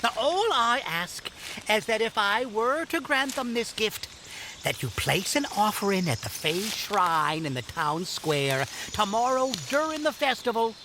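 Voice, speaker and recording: A woman's voice: medium pitch, big pitch swings, unhurried, background noise. A woman playing a whimsical, mischievous yet kindly elf in an audio drama, giggly and theatrical, with playful rhymes and grand old-fashioned phrasing. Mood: whimsical, chatty.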